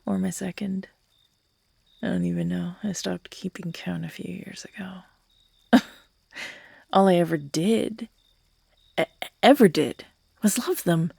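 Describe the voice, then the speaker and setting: A woman playing an obsessive, unhinged yandere who is tender and menacing by turns, speaking in an intimate, halting, breathy ASMR roleplay style that often drops into whispers. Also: fairly low pitch, a little mellow, no echo, studio quality, exaggerated voice. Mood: menacing, gleeful. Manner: slow, halting, cooing, broken by giggles and sighs.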